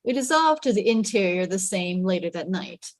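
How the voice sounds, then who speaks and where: A woman's voice: mid-range voice, expressive, normal speed, no echo, studio quality. A woman reading out stage directions as the narrator of a sitcom table read. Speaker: script narrator.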